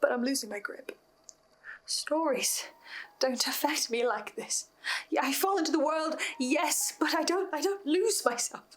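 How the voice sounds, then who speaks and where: A woman delivering a scripted, dramatic video log monologue with ominous pauses for effect. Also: high voice, fairly bright tone. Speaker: host of a listener's video log. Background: music behind the voice.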